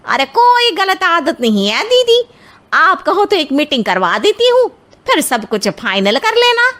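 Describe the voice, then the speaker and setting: A woman voicing an eager, chatty matchmaking aunt in an animated family story, coaxing and effusive. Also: very high voice, measured pace, heavily acted. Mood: eager, persuasive. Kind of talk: Hindi animated moral story acting.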